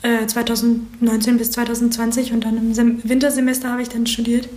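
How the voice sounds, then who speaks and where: Higher-voiced young woman in an echoey room. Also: completely flat delivery, brisk, darkish tone. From Berlin, Germany. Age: 20s. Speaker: interviewee, creative writing student and audio drama writer. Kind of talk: casual interview. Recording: roomy, echoing interview recording.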